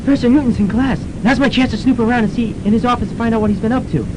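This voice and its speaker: A nervous cub reporter in a radio comedy. The voice is extremely high and squeaky and rattles along fast. Loud rumbling noise runs through the recording. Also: male voice. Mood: nervous, frantic. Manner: squeaky, frantic muttering to self. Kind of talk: campus radio comedy drama acting.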